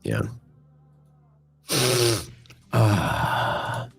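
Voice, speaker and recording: Deep nasal man with a cold. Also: big pitch swings, very slow, vocal fry, a bit bright, faint music behind. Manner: loose, filler-heavy talk to the chat. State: stuffy from a cold, voice worn. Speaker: futures trading livestream host. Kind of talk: casual live market commentary.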